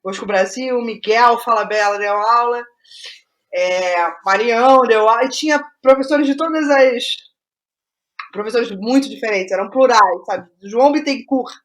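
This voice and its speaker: A Brazilian woman in a casual interview as a guest playwright, speaking haltingly and repeating phrases as she thinks aloud. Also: on the high side.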